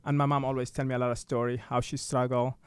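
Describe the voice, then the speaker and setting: A man in his thirties with a Tunisian accent, a radio guest telling his story in simple, halting English. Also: mid-range voice, level tone, clean tone, no echo. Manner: simple broken English with many restarts. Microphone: studio mic.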